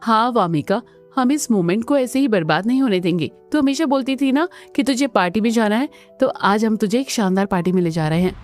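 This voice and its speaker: A woman's voice: on the high side, lively intonation, brisk, soft background music, natural voice. A woman voicing a spiteful, snobbish young older sister in a Hindi cartoon, with an exaggerated, sneering manner. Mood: falsely sweet. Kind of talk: Hindi family cartoon voice acting.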